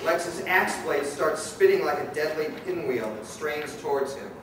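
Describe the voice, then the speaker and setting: In a very echoey hall, a man narrates a scripted radio play. His voice is fairly high and picked up from a distance, with clear noise around it. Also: moderate pace, fairly bright tone. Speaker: narrator and director. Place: live convention stage reading. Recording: very echoey hall, far mic, noisy.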